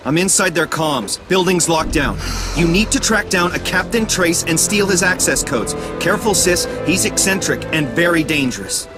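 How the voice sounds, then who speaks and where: A man's voice: a little high, expressive, moderate pace, few pauses, over music, close to an everyday voice. Commanding, poetic rebel mastermind played by a man. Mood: urgent. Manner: commanding, poetic, dramatic phrasing. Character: male rebel mastermind, cryptic, driven, poetic. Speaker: rebel leader giving mission orders. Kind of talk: video game voice acting, mission briefing.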